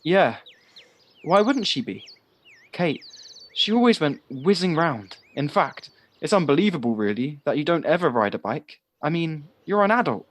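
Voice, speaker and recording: An actor playing a chatty, guileless young village lad in an audio play, talking in a candid, rambling way. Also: male voice, a little high, big pitch swings, moderate pace. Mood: amazed, teasing.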